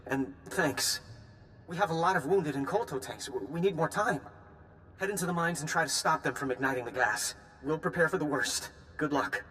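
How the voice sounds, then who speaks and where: A harried game lieutenant with a highish, sharp, forceful voice. He is tense and businesslike, over a faint rumble. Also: normal speed. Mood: urgent. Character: harried, urgent male base lieutenant. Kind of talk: video game cutscene acting. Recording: faint rumbling noise behind game audio.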